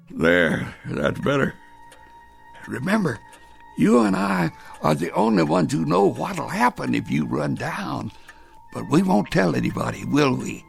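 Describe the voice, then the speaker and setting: An actor playing an anxious, stubborn old grandfather in a radio drama, stammering and muttering in a gravelly voice. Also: fairly high pitch, heavily acted. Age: older. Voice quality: gravelly, creaky. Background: music.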